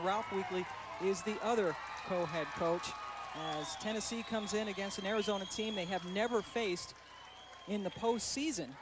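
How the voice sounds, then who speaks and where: A man calling a college softball game as play-by-play announcer, with a brisk, upbeat, stat-heavy broadcaster delivery. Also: sits high. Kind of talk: live sports commentary. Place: broadcast booth at a stadium. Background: crowd noise.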